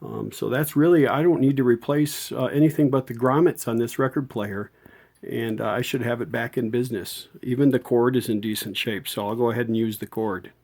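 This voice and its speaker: A man's voice: medium pitch, steady tone, a little fast, darkish tone. A middle-aged man giving a casual hands-on repair tutorial, talking in an informal, folksy way as he works. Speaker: host of a vintage radio repair channel.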